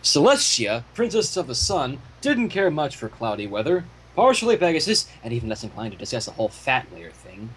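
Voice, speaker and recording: Male host reading fan fiction, irreverent, lively intonation, slightly raspy tone. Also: medium pitch, fairly quick, hardly any pauses, rough recording. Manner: irreverent, profane asides. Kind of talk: story reading with casual commentary.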